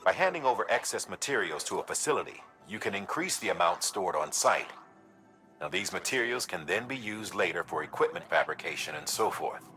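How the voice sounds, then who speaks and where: An actor playing an instructive adviser in a video game, explaining things in an orderly way. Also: male voice, low voice, sing-song, brisk, pushed and bright, soft background music, exaggerated voice. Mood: informative.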